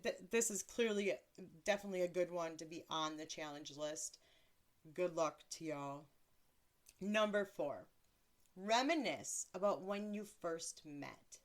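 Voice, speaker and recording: A woman in her thirties with a deeper voice, hosting a casual solo podcast in a blunt, crude, self-mocking way and laughing often. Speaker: podcast host.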